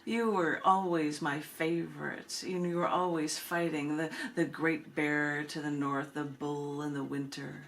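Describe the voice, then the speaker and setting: Woman, slightly low voice, poet reading aloud, expressive and deliberate, casual asides, background noise. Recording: clearly noisy room recording.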